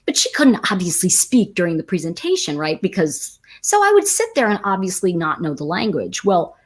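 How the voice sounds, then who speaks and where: A woman's voice: lowish voice, wildly swooping pitch, a little fast, slightly rough. An American woman hosting a tarot talk, telling stories in a rambling, chatty way with many restarts. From United States. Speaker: tarot teacher and host. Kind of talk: casual storytelling livestream.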